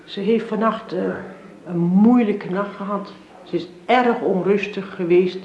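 An actress playing a calm, considerate hospital nurse in a radio drama, speaking in a measured, reassuring way. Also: female voice, medium pitch, lightly acted. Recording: echoey, dull, slightly noisy radio recording.

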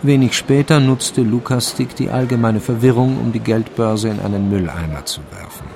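An actor as a dry, deadpan male narrator of a crime radio play. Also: mid-range voice, normal speed, vocal fry, slight background noise, lightly acted. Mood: reflective. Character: dry, wry male storyteller of a crime tale. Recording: faint music behind the voice.

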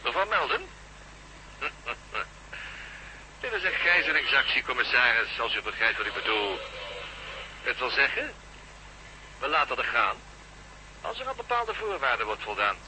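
A man's voice: medium pitch, very animated, unhurried, long pauses, bright, forceful tone, slight background noise, exaggerated voice. A man playing a smug, mockingly polite hostage-taking sheriff in a radio drama, heard down a telephone line.

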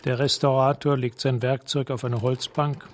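An actor playing a detached narrator in a radio drama, describing scenes in a sober, measured way. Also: male voice, mid-range voice, level tone, natural voice.

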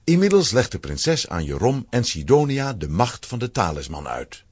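A man giving a dramatic, expressive scripted narration for a children's audio drama. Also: fairly low pitch, normal speed, husky, no room sound.

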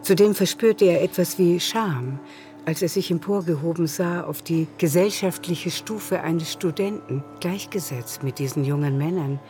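A woman's voice: on the low side, moderate pace, dark tone, faint music behind. A woman reading scripted literary narration as the narrator of a radio drama.

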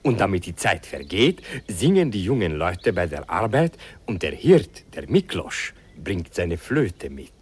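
An actor playing a kindly old country doctor in a radio play, patiently explaining things. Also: male voice, deep voice, sing-song, a little slow, hardly any pauses, breathy edge, heavily acted. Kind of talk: 1950s radio play acting.

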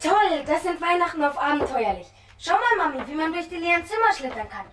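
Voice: very high voice, animated, fairly quick, fairly bright tone, far from the mic, slight background noise. A performer playing an eager, adventurous little boy in a German radio drama, cheerful and wide-eyed.

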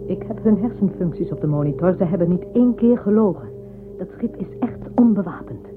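A woman's voice: lowish voice, lively intonation, fairly quick, narrow, tinny audio, lightly acted. An actress playing a shrewd, insistent female advisor to a warlord in a science-fiction radio play. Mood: probing. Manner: insistent, persuasive. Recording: thin band-limited audio with music behind.

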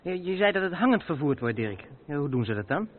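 Curious young trucker's mate, tinny. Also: male voice, fairly high pitch, sing-song, quick pace. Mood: inquisitive. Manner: inquisitive, eager. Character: Daan, curious young male trucker's mate, eager learner. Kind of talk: radio play dialogue. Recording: tinny, noisy old radio recording.

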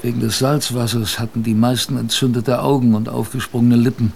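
Actor as creaky, weary sailor narrator. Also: male voice, mid-range voice, little pitch movement, fairly quick, darkish tone, a little hiss or hum, lightly acted. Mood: weary, grim. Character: weary polar expedition sailor recounting his ordeal. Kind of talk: first-person radio drama narration.

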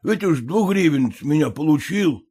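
Man doing a mild peasant driver talking folks down, husky, lively. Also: a little high, normal speed. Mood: placating. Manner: coaxing, soothing.

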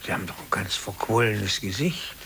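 An actor playing an aggrieved, self-pitying clerk in 1950s radio drama, brooding, grumbling and repeating himself when agitated. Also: male voice, fairly low pitch, moderate pace, vocal fry, fairly bright tone. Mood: concerned, insistent. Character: aggrieved, self-pitying male office clerk, resentful of his boss. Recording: hissy old 1950s radio tape.

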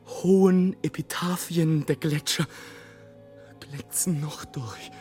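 A male actor playing a lonely, awestruck balloonist in a literary radio play, solemn and hushed, sinking into whispers. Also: fairly high pitch, slow pace. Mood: solemn, awed. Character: brooding, awestruck male balloonist drifting alone above the clouds. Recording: music under the voice. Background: music.

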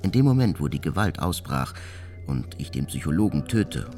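An actor playing a brooding, resentful, coldly self-important genius who recounts his life in a measured, formal monologue for a radio documentary. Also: rumbling low, big pitch swings, darkish tone. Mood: tense, vengeful. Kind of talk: dramatized first-person monologue in a radio documentary. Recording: studio voice with music underneath. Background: music.

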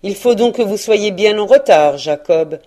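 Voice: mid-range voice, animated, measured pace, dark tone. A narrator voicing a frightened, humbled old male miser who speaks with hesitant deference. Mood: uneasy. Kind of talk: audiobook character reading.

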